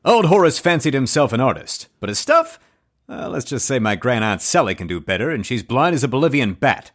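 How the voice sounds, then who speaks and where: Man playing animated, folksy 1940s saloon keeper. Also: mid-range voice, fairly quick. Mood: gossipy. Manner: colorful slangy barroom gossip. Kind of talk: old-time radio detective drama.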